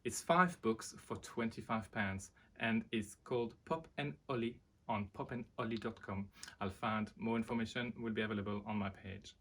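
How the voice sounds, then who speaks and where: A man presenting and reading a children's storybook aloud in an expressive way. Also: fairly low pitch, normal speed.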